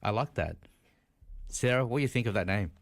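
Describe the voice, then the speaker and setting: Man, radio host, very deep voice, animated delivery, playful, teasing. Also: fairly quick, gravelly. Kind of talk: casual studio chat.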